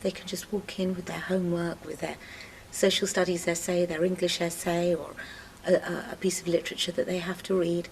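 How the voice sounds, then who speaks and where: A woman teacher explains her school's support classes in a practical, explanatory manner. Her voice is a bit low and gravelly. Faint background noise sits under her speech. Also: flat delivery, a little fast, darkish tone, poor audio. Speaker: English teacher. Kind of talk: interview answer.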